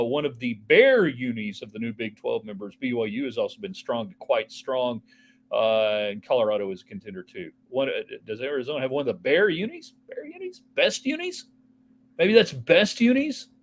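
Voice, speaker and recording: A man hosting a casual solo sports talk show, rambling from topic to topic and answering viewer texts. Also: medium pitch. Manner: rambling, offhand, jumps between topics.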